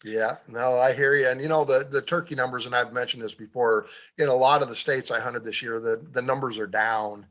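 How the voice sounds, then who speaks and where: Halting man hosting a hunting show. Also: mid-range voice, fairly even intonation, fairly bright tone. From Iowa. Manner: halting, many fillers and restarts. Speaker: radio show host. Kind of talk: casual hunting talk show.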